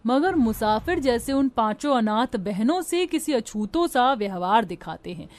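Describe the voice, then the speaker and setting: A woman's voice: on the high side, animated, moderate pace. A woman narrating a scripted dramatic story as a storyteller.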